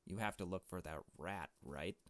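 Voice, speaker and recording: A man's voice: low-pitched, moderate pace, a bit bright, no room sound. A man voicing a bossy, scheming young woman from a visual novel, curt and commanding. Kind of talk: visual novel dialogue read aloud.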